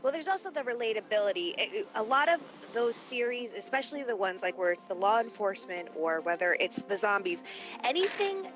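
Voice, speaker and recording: A woman's voice: medium pitch, big pitch swings, brisk. Earnest, wordy woman over faint music. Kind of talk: casual analytical discussion.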